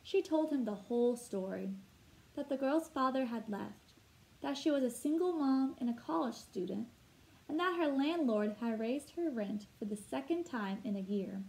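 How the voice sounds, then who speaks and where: A woman in her thirties reading a picture book aloud expressively, like a teacher, pausing to explain things. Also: high-pitched, animated, a little mellow, poor audio. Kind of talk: expressive children's read-aloud narration. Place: indoor room at home.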